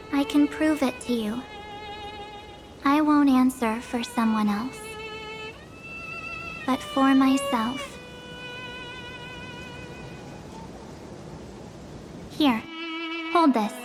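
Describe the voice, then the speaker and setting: A woman's voice: sits high, slow pace, pauses often, over music. A woman voicing an earnest, defiant young traveler in a video game, speaking assertively in casual phrasing. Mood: resolute.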